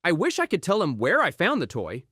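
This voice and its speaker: A woman reading a children's audiobook aloud in an expressive storytelling style, acting out exclamations and sound words. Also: on the low side, fairly quick, breathy edge, no room sound, clean studio sound. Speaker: audiobook reader.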